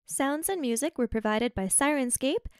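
A woman's voice: high-pitched, animated, normal speed, smooth, dry recording, clean studio sound. A woman announcer reading scripted closing credits.

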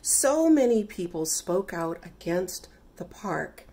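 A middle-aged woman reading a children's picture book aloud with deliberate, emphatic, dramatic phrasing. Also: fairly low pitch, sing-song, mellow. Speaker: read-aloud storyteller.

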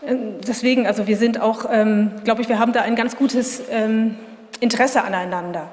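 A woman's voice: on the high side, steady tone, a little fast. Chatty woman moderator in an echoey hall. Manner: warm, chatty, many fillers. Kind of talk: festival reading and interview. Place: gallery room at a literature festival. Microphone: table mic on a stand.

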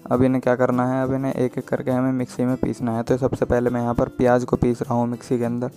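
Man with very flat pitch, cooking tutorial. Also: quick pace, soft background music. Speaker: home cook presenting a recipe. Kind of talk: step-by-step cooking tutorial voice-over.

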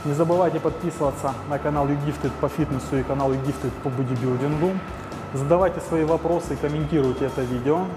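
A man's voice: a little high, steady tone, quick pace. Man explaining workouts in an echoey gym. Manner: explanatory, with frequent "э" fillers. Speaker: fitness trainer. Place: large indoor gym. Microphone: lapel mic. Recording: echoey gym with music behind.

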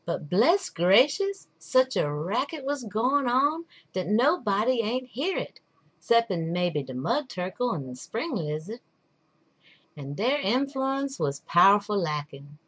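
A woman's voice: mid-range voice, sing-song, slow, fairly bright tone, breathy edge, exaggerated voice. A woman voicing a patient, wry old man telling a folktale in drawling, folksy dialect. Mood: wry storytelling.

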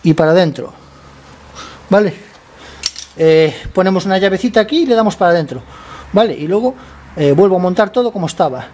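A man with a high-pitched, slightly hoarse voice, worn by a cold, chats through a motorcycle repair in his garage. He talks to the camera as he works, explains each step in a loose, conversational way, and keeps checking that viewers are following. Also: animated, a little fast, pauses often, darkish tone, slight background noise. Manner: chatty, explains step by step, keeps asking ¿vale?. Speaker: motorcycle mechanic vlogger. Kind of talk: casual workshop tutorial.

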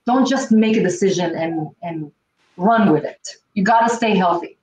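A woman's voice: on the low side, moderate pace, a little mellow. A middle-aged woman, from Israel, hosting a casual cooking chat on a video call from her home kitchen. She is emphatic and repeats her key points. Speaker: cooking show host. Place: home kitchen, indoors, standing back from the camera. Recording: echoey kitchen, distant mic, faint hiss.

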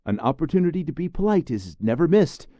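A man voicing excited young boys on a summer outing, with an eager, raised boyish voice. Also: a little high, fast, no echo, pitched above the performer's own voice.

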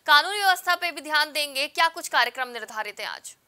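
Young woman, news anchor, extremely high pitch, sharp bright tone, formal live interviewing. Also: lively intonation, fast. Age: young adult. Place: indoor TV studio.

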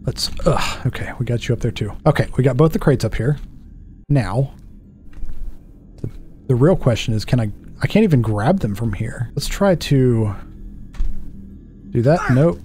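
Self-deprecating man playing a game, mellow voice. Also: medium pitch, expressive, moderate pace, long pauses, soft background music. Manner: self-deprecating, jittery reactions, thinks aloud. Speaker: gaming video host. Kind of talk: casual let's play commentary.

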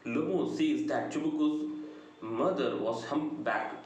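A middle-aged man with a South Indian accent giving a lecture, retelling a story deliberately with pauses between phrases. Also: medium pitch, a little mellow. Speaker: English lecturer. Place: small bright indoor room. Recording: very echoey room, faint background noise.

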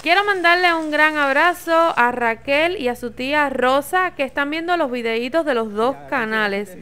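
A young Cuban woman talks to her viewers in an easy, chatty way. Her voice sits a little high. A faint hum of noise runs underneath. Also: moderate pace, bright, forceful tone. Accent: Cuban Spanish. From Cuba. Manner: chatty and informal, sends kisses to viewers. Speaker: vlogger. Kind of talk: casual vlog narration.

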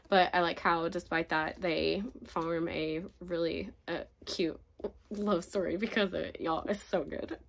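Chatty woman with a cracking voice. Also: fairly low pitch. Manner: chatty, gushing, frequent fillers and laughs. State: voice cracking after being sick. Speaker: book reviewer. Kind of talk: casual book recommendation vlog. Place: home room with bookshelves, indoors.

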